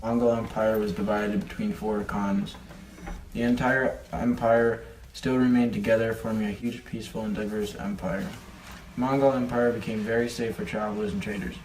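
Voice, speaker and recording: A man's voice: on the low side, fairly even intonation, normal speed, darkish tone, slight background noise, rough recording. A man presenting a newsreel with scripted narration, speaking as a news presenter.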